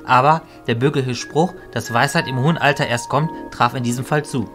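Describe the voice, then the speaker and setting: A man playing a calm, stern and wise mentor in an audio drama, speaking in a measured, firm way. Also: mid-range voice, faint music behind, close to an everyday voice.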